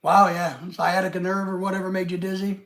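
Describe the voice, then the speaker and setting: A man's voice: high-pitched, level tone, brisk, creaky, fairly bright tone. A man hosting a casual live stream with a head cold, rambling and reading out viewer comments.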